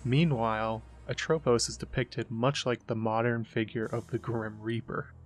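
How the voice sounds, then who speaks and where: A man's voice: medium pitch, steady tone, moderate pace. A man presenting scripted explanatory narration as the host.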